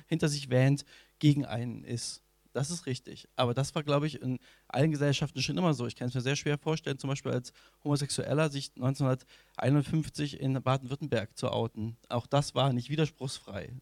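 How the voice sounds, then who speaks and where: A man's voice: medium pitch, vocal fry, darkish tone, dry recording. A man in his forties, a journalist speaking as a panel guest, hesitant with many fillers and some dry humor. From Thuringia, Germany. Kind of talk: panel discussion.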